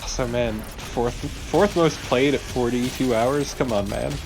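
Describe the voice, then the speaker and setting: Informal man co-commentating, mid-pitched voice. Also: slow pace, gravelly, background noise. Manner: informal, sweary. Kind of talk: casual gaming chat.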